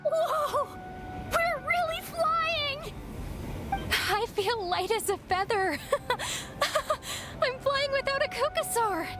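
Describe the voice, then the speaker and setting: A woman's voice: very high-pitched, expressive, takes it slowly, exaggerated voice. A woman playing a timid, wide-eyed young trainee flyer in a video game, breathless and hesitant. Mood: elated. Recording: clear music behind the voice.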